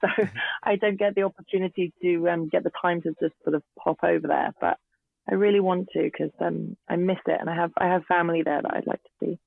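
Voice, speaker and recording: Hoarse English woman on a tinny line. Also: lowish voice, steady tone, fast talker, dry recording. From England. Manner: hesitant, many ums and restarts. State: hoarse from illness. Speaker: guest actor. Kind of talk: casual interview chat. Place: garden shed at home. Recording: tinny phone-like remote line.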